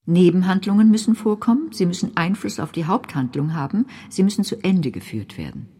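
A woman's voice: fairly low pitch, lively intonation, brisk, dark tone, breathy edge. An actress playing an insistent woman who recites a rhythmic, emphatic list in a radio play. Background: music.

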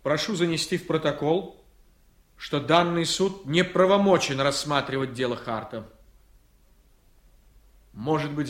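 A man's voice: fairly high pitch, lightly acted. An actor playing a combative, indignant male lawyer in a radio play, objecting in a sarcastic, oratorical way. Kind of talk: Soviet radio play acting. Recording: roomy, echoing radio drama recording.

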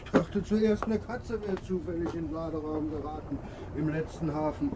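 A man's voice: fairly high pitch, steady tone, normal speed, natural voice. An actor playing a deferential sailor who explains himself at rambling length to his captain in a 1950s radio drama. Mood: nervous, deferential. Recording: old radio recording, faint noise, ship ambience.

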